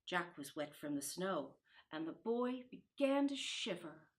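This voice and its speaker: A woman reading a children's Christmas storybook aloud in an expressive, deliberate way. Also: lowish voice. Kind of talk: storybook narration.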